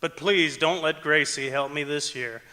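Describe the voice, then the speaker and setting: Guy playing friendly chatty tax guy in old radio sitcom, bright voice, auditorium echo. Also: on the high side, moderate pace. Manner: polite, chatty, jokey. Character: affable, joking income tax accountant. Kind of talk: old-time radio comedy acting on stage. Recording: echoey auditorium stage performance.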